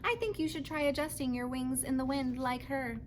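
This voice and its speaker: A woman voicing a patient, protective robot mother who speaks in precise, formal, measured sentences for a children's storybook. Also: high-pitched, a little hiss or hum, close to an everyday voice. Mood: matter-of-fact.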